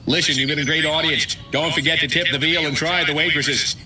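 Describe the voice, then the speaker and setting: An actor playing a glib, pompous small-town American mayor in a comedic video game, speechifying in a hammy way. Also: male voice, a little high, steady tone, fairly quick, sharp tone, slight background noise. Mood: jokey, hammy.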